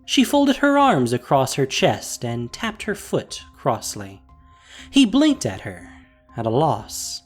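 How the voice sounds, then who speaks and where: A woman narrating a fantasy audio drama in an expressive, scripted storytelling style. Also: rumbling low, slow, breathy edge, faint music behind.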